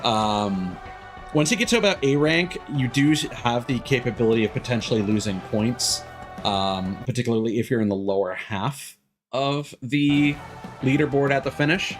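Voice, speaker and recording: A man's voice: medium pitch, normal speed, music in the background. A man chatting casually as he commentates a video game stream, rambling and often stopping to restart his sentences. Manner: rambling, self-interrupting, frequent stops and restarts. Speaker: live streamer.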